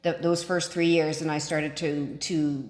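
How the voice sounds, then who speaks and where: A woman's voice: sits low, little pitch movement, unhurried. A woman in her fifties co-hosting a show, chatting casually and conversationally. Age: 50s. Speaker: co-host. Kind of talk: casual chat. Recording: echoey room with long reverb.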